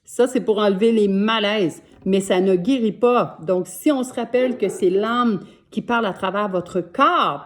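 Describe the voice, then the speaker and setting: A woman in her sixties with a Québécois French accent, giving a gentle, earnest self-help talk to camera from home as a life coach. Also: a little high. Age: 60s.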